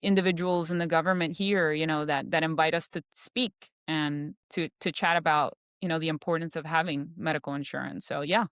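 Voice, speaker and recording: A chatty woman guest with a smooth, clear voice, recorded close and dry with no room sound. She talks easily and loosely, peppering her sentences with filler words. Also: deep voice, fairly even intonation, a little fast, hardly any pauses, fairly bright tone, no echo. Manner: chatty, many "you know" fillers. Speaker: guest, insurance professional. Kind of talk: casual interview chat.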